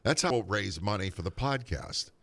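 Low-voiced man with lively, joking banter. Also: moderate pace, no echo. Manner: joking, idea-tossing banter. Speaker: podcast co-host. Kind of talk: casual chat.

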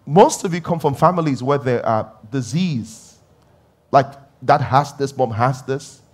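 A man's voice: mid-range voice, animated, a little slow, darkish tone. A middle-aged male pastor preaching a conversational sermon, repeating key phrases and rising in emphatic bursts.

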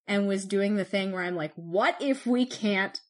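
Woman, podcast co-host, chatty, animated storyteller, casual. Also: mid-range voice, measured pace. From Canada. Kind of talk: casual chat.

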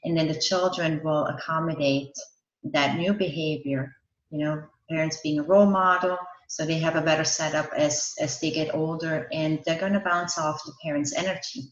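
A woman's voice: sits low, fairly even intonation, moderate pace. A woman from Austria, a parenting coach, explaining her work earnestly as a podcast guest. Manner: earnest, explanatory, laughs between points. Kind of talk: interview answers. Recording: roomy, dull lo-fi sound.

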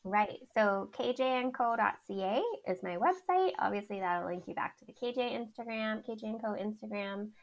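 A woman with a high voice, a business owner talking as a podcast guest in an outspoken, animated way, laughing often. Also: moderate pace, vocal fry, hardly any pauses. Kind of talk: casual interview chat.

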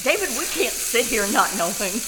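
Woman playing an anxious wife, high-pitched. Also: sing-song, normal speed. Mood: worried. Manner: fretful, urgent appeals. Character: anxious, suspicious wife stranded in a car. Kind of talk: old-time radio suspense acting. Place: live performance at a winery. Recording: noisy live stage recording.

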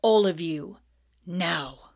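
A woman voicing a cold, sarcastic male teacher who speaks slowly, quietly and with silky menace. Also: on the low side, fairly even intonation, lightly acted. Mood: cold, menacing. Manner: slow, quiet, icy and sarcastic.

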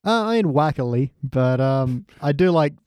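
Mellow, wry man in casual chat. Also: fairly high pitch, animated, normal speed, clean tone, no echo. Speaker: podcast guest.

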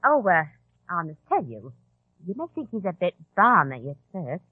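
An actress in 1940s radio style playing an informal, reassuring go-between for a secret society. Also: female voice, on the low side, big pitch swings, moderate pace, breathy edge, dry recording. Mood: nervously reassuring. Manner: informal, reassuring, hesitant asides. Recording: tinny, band-limited old radio broadcast.

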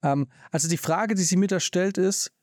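A man's voice: sits high, normal speed, dry recording, clean studio sound. A man co-hosting a casual podcast, posing reflective questions. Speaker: podcast co-host.

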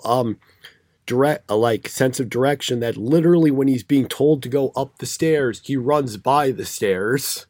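Hoarse male podcast co-host, halting recap delivery, dry close sound. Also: mid-range voice, a little mellow, dry recording. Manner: halting, many fillers and restarts. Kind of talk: casual chat recapping episodes.

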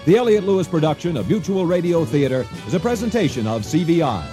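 A man's voice: on the high side, expressive, quick pace. A man working as a radio announcer, reading scripted programme credits. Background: theme music.

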